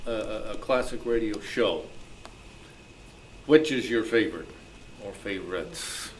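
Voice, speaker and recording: A man's voice: on the low side, takes it slowly, slight background noise. A man informally moderating a group discussion, passing questions around.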